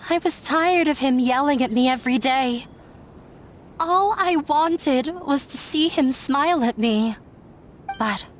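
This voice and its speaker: An actress playing a dejected, self-sacrificing young woman in an anime game dub, confiding in a sorrowful, resigned way. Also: high-pitched. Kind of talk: English-dubbed anime game voice acting.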